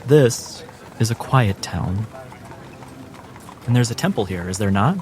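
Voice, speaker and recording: A man narrating a tabletop fantasy game as game master, in a measured storytelling manner. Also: on the low side, animated, noisy background. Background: music.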